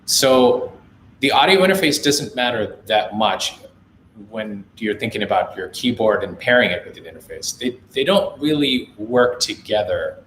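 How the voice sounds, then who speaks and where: A man's voice: lowish voice, moderate pace, reverberant, a little hiss or hum, poor audio. A young man from India with an American accent, hosting a casual live chat from his home music studio and giving friendly gear advice. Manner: relaxed, reads viewer comments aloud, then gives friendly gear advice. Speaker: livestream host, music producer.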